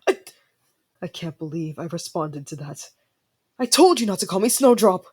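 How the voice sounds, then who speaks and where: Woman acting as flustered, proud young ice prince, lively intonation. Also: on the low side, normal speed, husky. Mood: indignant. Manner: tsundere, stammering, haughty then softening. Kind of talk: ASMR fantasy roleplay acting.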